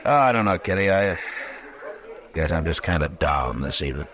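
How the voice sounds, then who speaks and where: Weary frontier marshal, bottom-deep voice, laconic, steady; old radio western with background chatter. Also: male voice. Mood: downbeat, easygoing. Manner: laconic, measured. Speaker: actor. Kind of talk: 1950s radio western acting.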